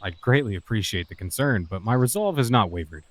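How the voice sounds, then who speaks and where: A man playing a composed, enigmatic lordly scholar in a fantasy audio drama, speaking in a measured, formal way. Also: on the low side, animated, no room sound, natural voice. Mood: polite.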